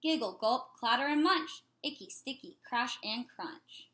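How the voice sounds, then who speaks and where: A woman with a fairly high voice reads a picture book aloud for children. She is expressive and playful, putting emphasis on the rhymes. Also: a little slow.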